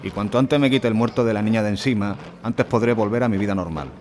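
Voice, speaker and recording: A man playing a stubborn, gruff truck driver. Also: fairly low pitch, quick pace, a little mellow, slight background noise, natural voice. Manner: gruff, blunt, swearing. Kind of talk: radio drama acting.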